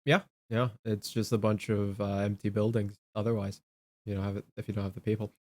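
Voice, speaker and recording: A man co-hosting a casual political chat, speaking in a halting way and repeating his words. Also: on the low side, flat delivery, a little mellow. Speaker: talk show co-host.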